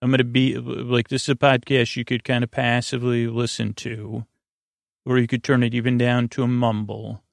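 Man, from the US, heavy vocal fry, dry close-miked sound, rambling sleep podcast host, halting and tangent-prone. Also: medium pitch, level tone, no room sound. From United States. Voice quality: creaky, gravelly. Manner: meandering, halting, tangent-prone with stammered restarts. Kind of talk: rambling bedtime podcast monologue.

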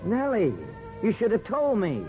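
An actor playing an earnest young soldier husband in a 1950s radio drama. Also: male voice, high voice, sing-song, a little slow. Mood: tender, apologetic. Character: earnest young husband, small-town barber turned soldier. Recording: dull 1950s radio recording, music behind.

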